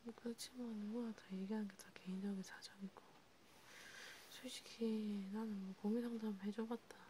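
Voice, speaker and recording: A woman speaks in a hushed ASMR whisper, as if counseling one listener. Her voice is soft and dark, and she goes along haltingly, in casual conversation. A faint noise sits behind her. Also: mid-range voice, steady tone. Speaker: ASMR creator playing a counselor. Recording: noticeable background hiss.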